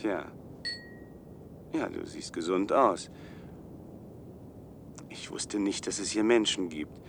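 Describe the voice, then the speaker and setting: A man's voice: deep voice, takes it slowly, vocal fry, slight background noise, heavily acted. An actor playing an awkward, earnest young male biochemistry student in a science-fiction radio drama, with hesitant, apologetic delivery. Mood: gentle, cautious.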